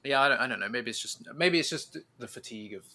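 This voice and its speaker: Male podcast host, bright forceful voice at medium pitch, informal and opinionated. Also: lively intonation, fast talker. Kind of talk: casual podcast chat.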